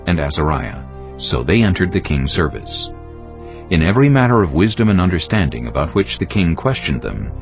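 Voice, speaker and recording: A man narrating a dramatized audio Bible in a solemn, deliberate storytelling style. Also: low voice, highs cut off.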